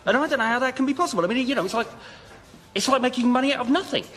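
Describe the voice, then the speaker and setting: An actor playing a baffled, nagging ordinary bloke in a radio comedy sketch, matey, halting and repetitive. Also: male voice, very high voice, fairly bright tone. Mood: baffled, insistent. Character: baffled, nagging ordinary bloke questioning his rich best mate.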